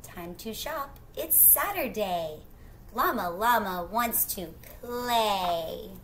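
A middle-aged woman reads to children in a bright, clear-edged voice. She takes her time, drawing out phrases and chatting casually to the listener. The home recording carries faint background noise. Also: medium pitch. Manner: expressive, drawn-out rhyming phrases, questions to the listener. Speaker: teacher reading a picture book aloud. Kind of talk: children's read-aloud with casual asides to young listeners. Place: small indoor room at home.

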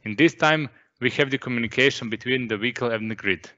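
Man, bright tone, explanatory panelist, lo-fi call. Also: mid-range voice, fast. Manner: explanatory, repeats words while thinking. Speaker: energy expert panelist. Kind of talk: technical explanation. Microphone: video call mic. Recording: dull lo-fi call audio.

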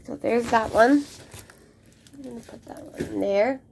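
Slow-talking woman with a head cold. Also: fairly high pitch, vocal fry, a little hiss or hum. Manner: relaxed, rambling with many ums. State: slightly congested from a cold. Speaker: crafting vlogger. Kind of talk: casual unboxing chat. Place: indoor craft room at home.

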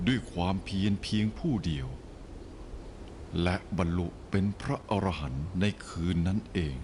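A man narrating an animated religious film in a scripted storytelling style, with faint noise behind. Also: low voice.

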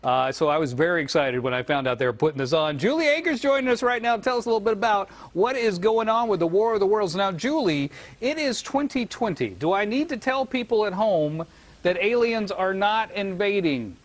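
Man, middle-aged, very high-pitched for a male, TV reporter, gushing, chatty, excited. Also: a little fast. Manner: gushing, enthusiastic. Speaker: TV morning show reporter. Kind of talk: excited casual live interview. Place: TV studio, indoors.

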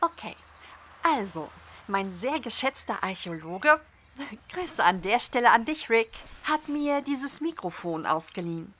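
A woman's voice: fairly high pitch, sing-song, unhurried, pushed and bright, slight background noise, exaggerated voice. A woman playing a friendly podcast guest in a German audio drama, chatty and explanatory. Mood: matter-of-fact.

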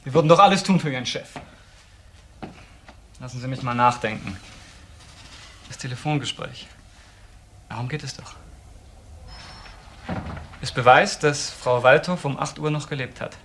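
A man's voice: mid-range voice, moderate pace, pauses often, fairly bright tone, slight background noise, close to an everyday voice. A man playing an obsessive, insistent accuser in a German crime radio drama, brooding over every point. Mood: urgent, brooding.

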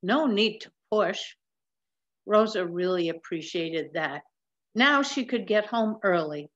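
An older woman reading a picture book aloud in a measured, explanatory manner, recorded on a webcam at home. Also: medium pitch. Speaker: storybook reader. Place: large wood-ceilinged room at home. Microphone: webcam or laptop mic at desk distance. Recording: slightly dull webcam sound.

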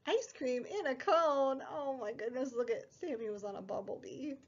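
A young woman hosting a preschool story time, reading a picture book in a sing-song, exaggerated way with drawn-out words. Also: high-pitched, lo-fi sound. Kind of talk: picture book read-aloud for small children.

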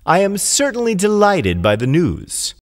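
A man's voice: high voice, huge pitch swings, unhurried, long reverb tail, voice pushed up, full character voice. A man voicing a wise old rooster in a children's fable, clever and composed with feigned delight.

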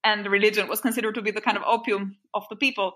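A woman in her forties from Albania speaks with a clear, bright tone. She tells her memories thoughtfully and unhurriedly, pausing to correct herself mid-phrase. Her voice sits at an ordinary middle pitch. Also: fairly even intonation. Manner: thoughtful, recalls memories with small restarts. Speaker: guest. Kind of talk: reflective interview storytelling.

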